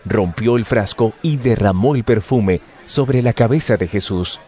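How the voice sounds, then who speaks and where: A man giving formal, measured narration of scripture. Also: on the low side, dark tone, husky, a faint crowd.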